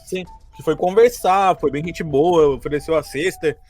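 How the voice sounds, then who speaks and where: A Brazilian man chatting casually on a podcast as he recaps a tabletop game, joking and quick to laugh. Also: fairly high pitch, darkish tone, soft background music. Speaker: game master of a tabletop RPG campaign, podcast member.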